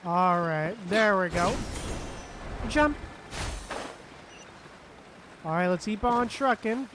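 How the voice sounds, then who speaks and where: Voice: mid-range voice, expressive, takes it slowly, background noise. A game streamer giving casual live commentary, repeating phrases and muttering with offhand swearing. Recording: game music behind the voice. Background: game music.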